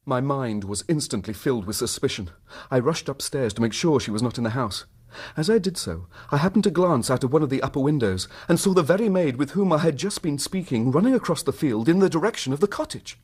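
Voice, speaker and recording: Victorian husband, British, husky mid-pitched voice, formal, earnest, emotionally wounded, period radio drama. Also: male voice, expressive, a little fast, a little mellow. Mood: agitated, suspicious. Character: troubled, proud, suspicious Victorian husband. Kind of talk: radio drama acting, narrating his own story.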